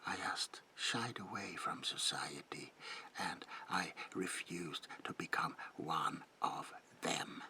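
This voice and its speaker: Man as a very deep, whispering vampire. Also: lively intonation, pushed and bright, heavily acted. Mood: menacing, reproachful. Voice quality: breathy, gravelly whisper. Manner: slow, murmured, old-fashioned phrasing, lingering repetitions. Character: eerie, reclusive old male vampire, politely menacing, lonely. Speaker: ASMR roleplayer. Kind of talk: ASMR roleplay, whispered monologue.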